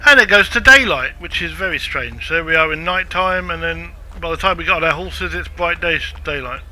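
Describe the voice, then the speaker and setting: A man's voice: on the high side, animated, brisk, pushed and bright, slight background noise, rough recording. A man casually commenting on a game walkthrough, chatty and self-deprecating. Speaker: gameplay walkthrough narrator.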